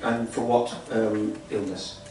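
A man's voice: on the low side, fairly even intonation, slow. A man in his thirties doing improvised stand-up crowd work, rambling and exasperated, repeating his phrases. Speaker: stand-up comedian. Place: small indoor comedy club stage. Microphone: handheld stage mic, recorded from room. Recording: echoey comedy club, distant camera, loud crowd noise. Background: audience laughter, noise.

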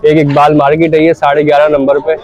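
A hoarse young man from Karachi vlogging casually at a night market, joking as he complains. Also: a little high, monotone, fast, darkish tone, muffled recording. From Karachi, Pakistan. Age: young adult. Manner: jokey, playful complaining. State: hoarse throat. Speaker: vlogger, son. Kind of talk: casual street vlog. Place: crowded outdoor night market. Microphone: phone selfie at arm's length. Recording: busy crowd chatter behind.

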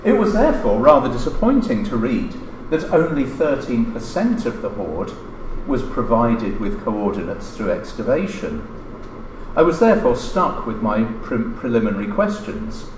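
Middle-aged male academic, formal scripted lecture with lively, swooping intonation, recorded in a roomy hall. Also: mid-range voice, moderate pace. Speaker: academic speaker reading a colleague's paper. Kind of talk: scripted conference lecture, read aloud. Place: indoor lecture room, standing at a lectern. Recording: roomy lecture-room echo, noticeable background noise.

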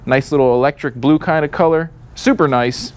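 Upbeat man pitching mobility scooters. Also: normal speed, darkish tone, clean tone. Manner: upbeat salesy, reads specs off a sheet. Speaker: mobility store host. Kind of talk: product walkthrough. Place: showroom full of scooters.

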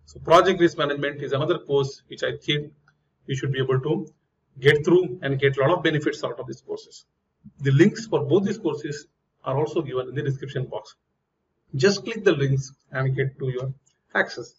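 A man's voice: mid-range voice, moderate pace. A middle-aged man, explaining piping over slides in an earnest, step-by-step way, recorded in a small room on a lapel mic. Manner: earnest, explains step by step, pointing things out on diagrams. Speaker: HVAC instructor. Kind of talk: technical lesson over slides. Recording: dull lo-fi audio with faint noise.

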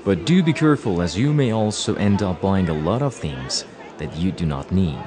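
A man narrates a TV feature as its reporter, with a very lively, varied intonation. The delivery is scripted, and a busy crowd can be heard underneath. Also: sits low, moderate pace.

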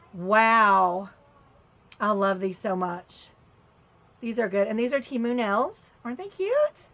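A woman's voice: a little high, a bit bright. A woman chatting casually through a shopping haul on camera, exclaiming and muttering as she opens things. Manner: chatty, exclaims and mutters while fiddling with items. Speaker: vlogger unboxing a shopping haul.

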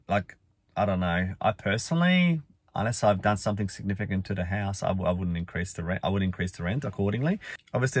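A man, croaky from a cold, chatting casually and rambling with lots of fillers as he vlogs from inside a car. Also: deep voice, dry recording. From Australia. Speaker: finance vlogger. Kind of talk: casual talking-head vlog. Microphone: phone or camera at arm's length.

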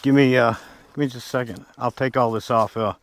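A man's voice: mid-range voice, steady tone, a little fast. A middle-aged man giving casual, unpolished running commentary as he works outdoors. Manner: folksy, unpolished, talking to himself while working. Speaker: wasp removal vlogger. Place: open barn and yard outdoors. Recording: loud engine-like vacuum and outdoor noise.

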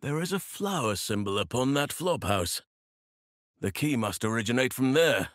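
A man playing a wise old elf druid in a video game, protective and solemn, speaking in measured, earnest, formal phrases. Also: medium pitch, animated, fairly bright tone, no echo, studio quality, natural voice. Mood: earnest, steadfast. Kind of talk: video game character dialogue.

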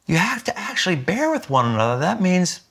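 An emphatic man in his fifties speaks as a pastor in a sit-down interview, his voice bright and forward. He stresses points with sudden lifts in pitch, recorded indoors in a quiet living room. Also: fairly quick, vocal fry. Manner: earnest, emphatic, with lively jumps in pitch when stressing points. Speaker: senior pastor.

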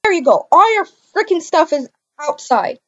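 A woman's voice: very high voice, animated, moderate pace, dull audio, pitched above the performer's own voice. A woman playing a hurt, indignant young mother in an amateur video game roleplay, speaking emphatically and repeating herself. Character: hurt, furious young mother kicking out cheating partner.

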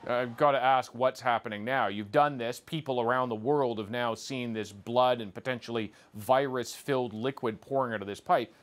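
A man's voice: medium pitch, normal speed, few pauses. Polished male TV news host. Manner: polished broadcast delivery. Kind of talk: news narration and interview. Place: TV studio. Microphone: lapel.

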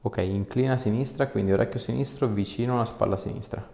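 The voice is a man's, low and creaky. He talks casually as an osteopath examining a patient, giving short, reassuring instructions. The small treatment room adds a clear echo. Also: quick pace, warm tone. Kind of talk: casual hands-on exam talk, ASMR video.

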